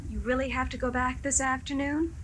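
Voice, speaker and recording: A woman's voice: high-pitched, level tone, a little fast, natural voice. A woman playing a playful, bookish fiancée in a 1970s radio drama, speaking in a light, conversational way. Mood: affectionate, teasing. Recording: noisy 1970s live radio tape.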